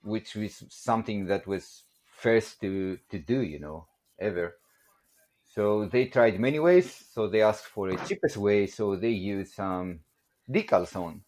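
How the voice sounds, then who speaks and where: A man's voice: lowish voice, expressive, unhurried, darkish tone. A man in his forties from Greece with a Greek accent, chatting as a podcast guest, joking and laughing a lot and saying "you know" often. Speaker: guest, barbell company production manager. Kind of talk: casual podcast storytelling.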